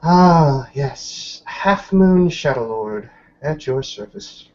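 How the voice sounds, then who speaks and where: A man's voice: a little high, expressive, slow pace, darkish tone, highs cut off. A man playing a tipsy, cocky young female werewolf in a tabletop game, with a wry, teasing manner.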